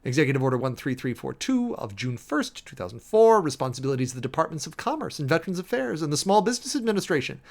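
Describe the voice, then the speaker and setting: A man's voice: on the high side, big pitch swings, fast, vocal fry, hardly any pauses. A man giving a dramatic, emphatic reading of a formal document, announcing headings and punctuation as he goes. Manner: theatrical, emphatic, spells out punctuation and section headings. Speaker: streamer and host reading a document aloud.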